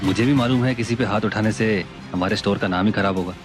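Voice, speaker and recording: A man's voice: lowish voice, quick pace, music in the background, lightly acted. An actor playing a bullying store manager who cringes before his bosses in an audio drama. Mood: defensive. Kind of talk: Hindi audio drama acting.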